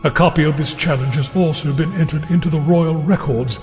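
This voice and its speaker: An actor playing a deferential royal herald in an audio drama, speaking in a formal, measured, declamatory way. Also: male voice, fairly high pitch, darkish tone, lightly acted. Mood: formal proclamation. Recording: reverberant hall with music underneath.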